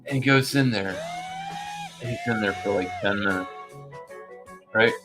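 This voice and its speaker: A man's voice: fairly low pitch, moderate pace. A man chatting casually in a blunt, profane, ranting way. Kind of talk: casual chat. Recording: music playing behind the voice. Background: music.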